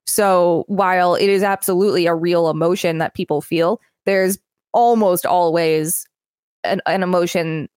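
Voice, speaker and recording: A woman's voice: on the low side, steady tone, moderate pace, a little mellow, clear, resonant tone, no echo, studio quality. A woman co-hosting a podcast, reading encyclopedia material aloud with some casual commentary. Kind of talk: scripted narration read aloud, with brief casual commentary.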